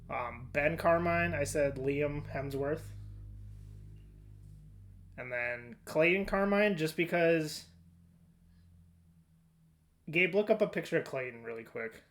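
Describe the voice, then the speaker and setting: A man's voice: on the high side, slow, pauses often, fairly bright tone. A man co-hosting a podcast in casual chat, informal and often thinking aloud as he goes. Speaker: podcast co-host. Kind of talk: casual chat about movie casting.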